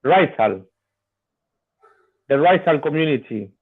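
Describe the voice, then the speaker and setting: A Colombian man, a native Spanish speaker with a Colombian Spanish accent, joining as a guest caller, eager and halting, often repeating words. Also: on the high side, lively intonation. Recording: tinny phone-like call line.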